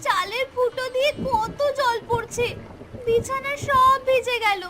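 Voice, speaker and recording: A woman's voice: very high-pitched, measured pace, pushed and bright, exaggerated voice. A woman voicing a timid, pleading little girl from a poor family in a cartoon. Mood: frightened. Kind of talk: cartoon voice acting.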